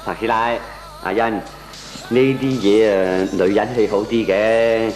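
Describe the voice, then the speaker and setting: A man's voice: fairly low pitch, steady tone, a little mellow, echoey room. An actor playing a mild man who reasons his way out of confrontation in a radio comedy, lecturing soothingly in colloquial Hong Kong Cantonese. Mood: placating, reasoning. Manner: placating, lecturing, drawn-out colloquial delivery.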